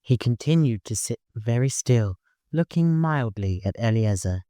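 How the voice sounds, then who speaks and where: A man's voice: medium pitch, lively intonation, measured pace, warm tone, no room sound. Man narrating an audiobook, dry close sound. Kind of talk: scripted literary narration.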